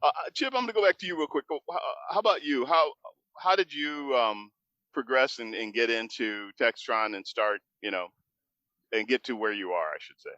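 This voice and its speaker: A man's voice: medium pitch, animated, normal speed, sharp tone, dry recording, muffled recording. A man hosting an on-location interview, chatty, laughing often and restarting his questions. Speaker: podcast host. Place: aircraft manufacturing facility.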